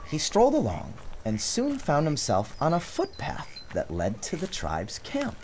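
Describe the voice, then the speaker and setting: A man giving a dramatic, expressive narration of a storybook, theatrical in his phrasing. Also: a little high, measured pace, slight background noise. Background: music.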